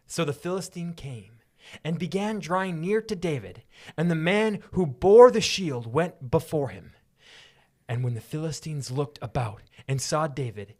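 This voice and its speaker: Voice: mid-range voice, darkish tone. A podcast host narrating scripture as a story, mixed with casual banter.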